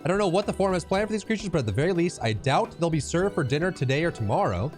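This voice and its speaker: A man's voice: fairly high pitch, big pitch swings, racing, soft background music. A man voicing a dutiful, weary animal wrangler from a fantasy game in a plain-spoken, wry way. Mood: matter-of-fact.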